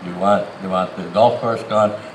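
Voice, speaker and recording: A middle-aged man, speaking plainly and haltingly as a candidate at an outdoor forum. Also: sits low, fairly even intonation. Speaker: city council candidate and incumbent. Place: outdoors in a town park. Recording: echoey outdoor PA with clear background noise.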